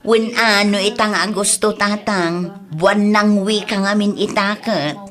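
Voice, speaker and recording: A woman's voice: mid-range voice, steady tone, unhurried, a bit bright, natural voice. A woman playing an easygoing, chatty village shopkeeper with an Ilocano accent in a comedy drama. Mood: chatty, respectful.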